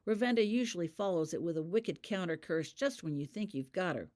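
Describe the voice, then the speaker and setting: A woman's voice: fairly low pitch, expressive, a little fast, darkish tone, lightly acted. A woman voicing an observant, matter-of-fact schoolboy wizard in an audiobook. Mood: cautioning.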